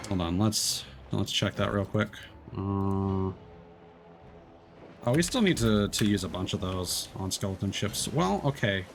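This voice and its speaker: A man's voice: low-pitched, animated, measured pace, gravelly, noisy background. A man casually talking through his gameplay as a streamer, chatty and thinking aloud with frequent self-corrections.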